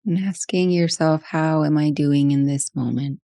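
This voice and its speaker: Female meditation guide, soft-edged mellow tone, gentle spaced phrasing, close dry sound. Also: low-pitched, steady tone, dry recording. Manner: slow, gentle pauses between short phrases.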